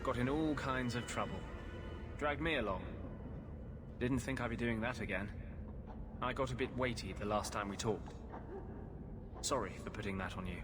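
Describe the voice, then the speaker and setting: An actor playing a brooding, tormented rebel mage in a video game, speaking earnestly and hesitantly, as if confessing. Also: male voice, mid-range voice, moderate pace, long pauses, close to an everyday voice. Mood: subdued, grateful. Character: brooding, tormented rebel mage sharing his body with a spirit. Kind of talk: video game cutscene acting. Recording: music behind the voice.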